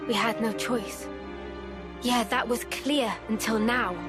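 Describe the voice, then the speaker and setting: An actress playing a gentle, guarded young woman soldier in a video game cutscene, speaking softly and in a measured way. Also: on the high side, steady tone, music in the background. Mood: sombre, reflective.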